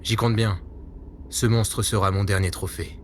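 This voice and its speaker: A man's voice: lowish voice, fairly even intonation, unhurried, heavy background noise, close to an everyday voice. A man playing a weary, self-doubting veteran secret agent in a spy audio drama, earnest and brooding. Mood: grimly resolved.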